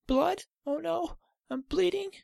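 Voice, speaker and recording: A man voicing a small frightened young girl, with a high whiny childish voice. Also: takes it slowly, creaky, a little mellow, no echo, heavily acted. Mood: alarmed.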